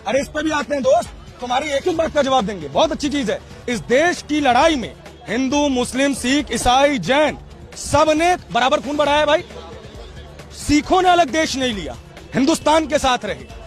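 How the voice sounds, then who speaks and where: A man's voice: extremely high pitch, lively intonation, normal speed. A man from Rajasthan, arguing in an impassioned street debate, lecturing and repeating his questions insistently. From Rajasthan, India. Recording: music behind the voice. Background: music.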